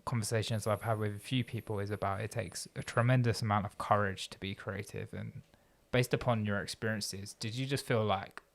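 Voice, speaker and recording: A man hosts a conversational podcast, his voice low and gravelly. He thinks aloud as he talks and asks long, winding questions that stop and restart. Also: fairly even intonation, fairly quick. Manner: thoughtful, rambling questions with restarts. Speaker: podcast host. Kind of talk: conversational interview.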